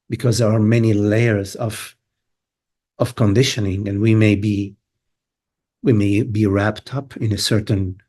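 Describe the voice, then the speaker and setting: An older man leads a satsang over a video call, his speech wandering and full of pauses and fillers. His voice is low and husky. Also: a little mellow. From Lebanon. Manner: meandering, halting, many fillers. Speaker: spiritual teacher. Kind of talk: satsang talk.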